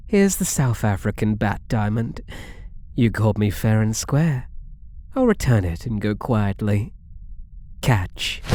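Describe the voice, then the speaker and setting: Guy doing a british catboy thief for asmr roleplay, possessive n teasing, slow soft-toned mellow voice, super close dry sound. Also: fairly low pitch, no room sound, exaggerated voice. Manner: coy, playful, mock-innocent purring taunts.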